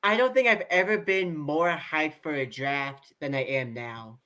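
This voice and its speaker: A man's voice: on the high side, unhurried, sharp tone. A man chatting casually as a panelist on a sports show. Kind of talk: casual chat.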